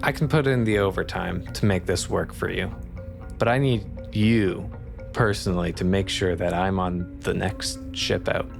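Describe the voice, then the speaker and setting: A man playing a weary, annoyed colony engineer in a tabletop game, speaking in a blunt, halting, grudging way. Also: deep voice, vocal fry, music in the background. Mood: weary, bargaining. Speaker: non-player character in a tabletop game.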